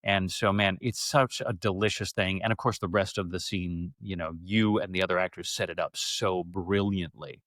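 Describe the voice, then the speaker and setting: A man speaking as an interview guest and actor, telling stories casually in an animated, digressive way and often stammering over repeated words. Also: low voice, normal speed, hardly any pauses, dry recording, studio quality.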